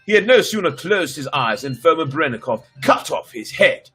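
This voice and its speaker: Husky-voiced man telling stories, lively delivery. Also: fairly high pitch, normal speed, soft background music. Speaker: storyteller and livestream host. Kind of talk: dramatic fairy tale reading with chatty asides.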